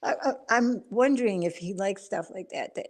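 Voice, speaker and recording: A woman's voice: mid-range voice, normal speed. Giggly woman in casual chat. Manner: giggly, often laughing. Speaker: live stream panel guest.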